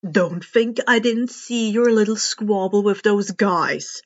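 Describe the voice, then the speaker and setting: A woman voicing a gruff, stern male hero mentor in a read-aloud story, in a muffled recording. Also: mid-range voice, slow. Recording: dull, muffled lo-fi home recording.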